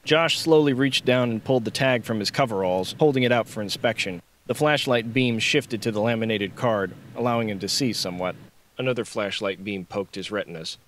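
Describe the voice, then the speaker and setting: A man's voice: lowish voice, a little fast, fairly bright tone, a little hiss or hum. A man reading dramatic, scripted action fiction as an audiobook narrator.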